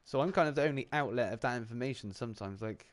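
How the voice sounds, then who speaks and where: A man's voice: mid-range voice, quick pace. Laid-back rambling man. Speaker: channel host on a live round-table stream. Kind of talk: casual chat.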